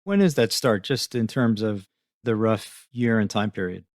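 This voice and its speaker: A man's voice: fairly low pitch, lively intonation, normal speed, no echo, studio quality. A man hosting an interview podcast, speaking in a conversational, presenterly way. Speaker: podcast host. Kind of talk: scripted introduction and conversational interviewing.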